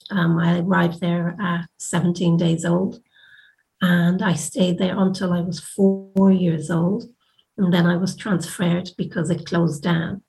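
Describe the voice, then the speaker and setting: Low, creaky-voiced Irish woman, reflective. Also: a little mellow, rough recording. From Ireland. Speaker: academic researcher and interviewee. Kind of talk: measured personal testimony in a documentary interview.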